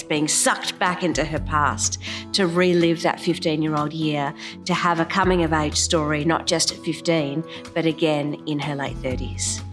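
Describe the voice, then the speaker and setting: A woman with a notably low voice speaks in an explanatory interview manner. Guitar music plays clearly behind her as she talks. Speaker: theatre creator interviewed.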